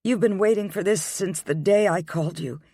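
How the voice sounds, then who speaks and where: A man's voice: high voice, normal speed, no room sound, clean studio sound, voice pushed up. A man voicing a heartbroken young pregnant woman who pleads through tears and then turns proud. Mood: pleading. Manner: tearful, pleading, then quietly resolved.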